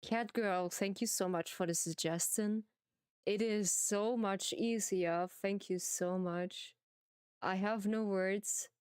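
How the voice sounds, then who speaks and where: A woman streamer with a German accent, playfully chatting to viewers in simple, halting English while she plays a farming game. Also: medium pitch, steady tone, darkish tone, clean tone, no room sound, studio quality.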